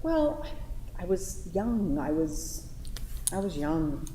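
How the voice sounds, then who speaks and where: A woman in a staged play reading, playing a sharp-tongued, sarcastic and exasperated young girlfriend in an echoey hall. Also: lowish voice, sing-song, slow. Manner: sarcastic, profane, incredulous. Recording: echoey theatre hall, some background noise.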